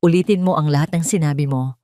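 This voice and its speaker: A woman's voice: low-pitched, quick pace, dark tone, no room sound, lightly acted. Audio drama role: furious, controlled male CEO, commanding and cold, dry close sound, read by a female narrator. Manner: icy, commanding, insistent.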